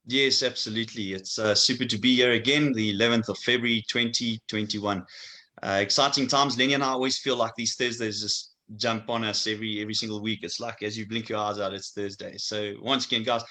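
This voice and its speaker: A man's voice: lowish voice, steady tone, a little fast, bright, forceful tone. A man from Africa, giving an upbeat, salesy introduction as co-host of an immigration webinar. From South Africa. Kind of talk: scripted-sounding promotional intro.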